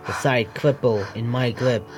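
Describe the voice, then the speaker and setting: A male streamer with reads a visual novel aloud at a medium pitch and adds his own commentary. He is halting, often restarting phrases, and faint music sits behind him. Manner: halting reading with stumbles and self-corrections.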